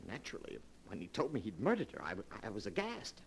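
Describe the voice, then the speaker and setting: An actor playing a calculating murderer in a vintage radio drama, smooth and controlled. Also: male voice, on the low side, huge pitch swings, quick pace, full character voice. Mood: composed. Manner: smooth and calculating, rising to hysteria. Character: cold scheming male murderer who cracks at the end. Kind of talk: 1940s radio acting.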